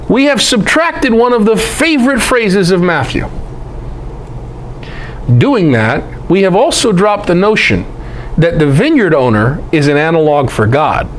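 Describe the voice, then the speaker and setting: A man's voice: sits high, slight background noise, rough recording. A man lecturing on scripture in an emphatic, conversational way, with rhetorical questions and folksy asides. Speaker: presenter and Bible teacher. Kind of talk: animated lecture on scripture scholarship.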